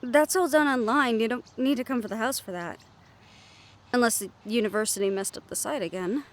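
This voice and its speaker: An actress playing a friendly, brisk woman medium who runs a haunted house, chatty and matter-of-fact in an audio roleplay. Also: on the high side, lightly acted.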